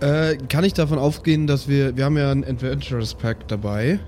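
A man with a fairly mellow, mid-pitched voice takes part in an improvised tabletop game. He chats casually with slangy English phrases, over faint background noise. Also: fairly even intonation, a little fast. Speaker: player in a tabletop podcast.

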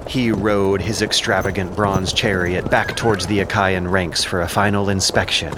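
A man's voice: low voice, fairly even intonation, moderate pace, very noisy. A man reading scripted epic narration in a solemn, formal storytelling manner. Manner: solemn, formal literary phrasing. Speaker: narrator of an audio drama. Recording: narration over a music bed. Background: music.

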